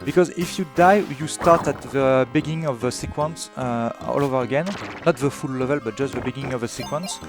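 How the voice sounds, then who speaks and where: A man's voice: mid-range voice, fairly quick, hardly any pauses. French man commentating a speedrun. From France. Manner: hesitant, many fillers and restarts. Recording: game music playing behind.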